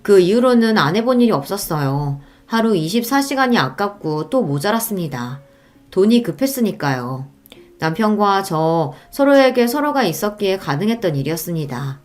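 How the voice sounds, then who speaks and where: A woman narrating a scripted first-person story in the style of a radio story reading. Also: mid-range voice.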